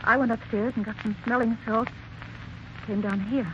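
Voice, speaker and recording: A woman's voice: on the high side, steady tone, normal speed, slight background noise, natural voice. An actress playing a frightened, faltering young woman. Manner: breathless, faltering. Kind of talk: 1940s radio acting. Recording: dull old radio recording.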